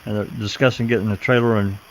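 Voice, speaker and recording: A man's voice: fairly low pitch, fairly even intonation, fast talker, very noisy. A man hosting a show, casually commenting on recordings and identifying who is talking. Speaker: host commenting on archived police radio recordings.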